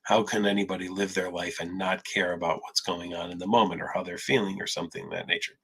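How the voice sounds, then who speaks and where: Husky-voiced man answering questions live. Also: low-pitched, fairly even intonation, quick pace, fairly bright tone. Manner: explanatory, mildly sarcastic when acting out obsessive thinking. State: a little stuffed up. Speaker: psychologist answering viewer questions. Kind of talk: live Q&A talk.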